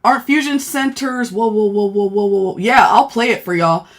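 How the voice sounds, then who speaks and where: A woman with a deep voice chatting casually on a phone livestream, speaking bluntly and thanking viewers as she goes. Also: normal speed. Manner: blunt, frank, thanks viewers mid-sentence. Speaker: livestreamer and former law enforcement worker. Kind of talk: casual live-stream chat reading comments.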